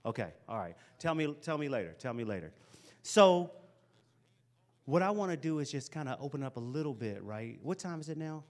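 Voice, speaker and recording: A man's voice: medium pitch, brisk. A man in his forties giving a casual, encouraging talk to young people in a school hall, speaking into a handheld microphone. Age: 40s. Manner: lively, casual and encouraging, chatty asides. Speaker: motivational speaker. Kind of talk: live talk to a youth audience.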